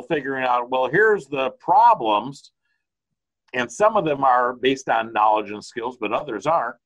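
A man's voice: mid-range voice, fairly bright tone, low-quality recording. An older man from the United States, a consultant, speaking casually over a video call from his home study, rambling with frequent restarts. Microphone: video call webcam.

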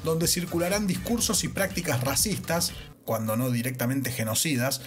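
A man's voice: medium pitch, moderate pace, faint music behind. A man with an Argentine accent narrating a scripted educational podcast as its host. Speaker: podcast host, social sciences teacher.

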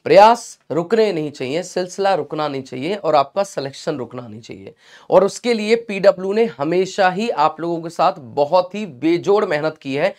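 A man's voice: a little high, animated, moderate pace, a little mellow. A young man with a sore throat teaching a live online class, chatty and encouraging, mixing English phrases into his talk. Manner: chatty and encouraging, mixes English phrases, addresses students as beta. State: sore throat, clearing it often. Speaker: online exam-prep teacher.

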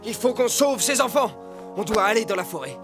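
A woman voicing a quick-witted, scheming male fox in a children's cartoon, persuasive and a bit bossy. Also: a little high, lively intonation, soft background music, natural voice. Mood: urgent. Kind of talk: cartoon voice acting.

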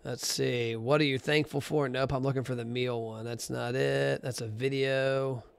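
A man's voice: mid-range voice, steady tone, moderate pace, creaky, clear, resonant tone. A man hosting a solo podcast, talking bluntly and casually, sometimes counting numbers aloud as he scrolls. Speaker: podcast host.

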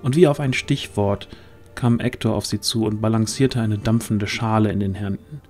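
A man's voice: lowish voice, normal speed, a little mellow, soft background music. A man narrating a fantasy audio drama as a scripted storyteller.

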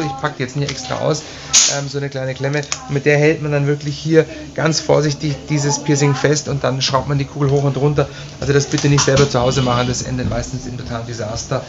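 A man in his forties with an Austrian accent, explaining piercing aftercare to the camera in a chatty, reassuring way, with many fillers, in a small tiled studio room. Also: medium pitch. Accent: Austrian German. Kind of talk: casual explainer tutorial. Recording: dull sound with loud background hiss.

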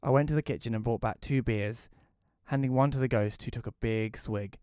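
A man's voice: medium pitch, brisk, warm tone, clean tone, no echo, close to an everyday voice. A man playing a reclusive, wry widower, narrating an audio play in the first person in a dry, reflective way. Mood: curious.

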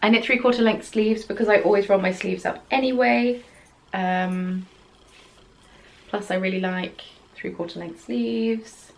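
Young woman, craft-room vlog, gravelly fry, chatty and self-deprecating, rambling with ums. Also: mid-range voice, steady tone, measured pace. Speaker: knitting podcast host. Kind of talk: casual chat. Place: small craft room at home, yarn on the wall behind.

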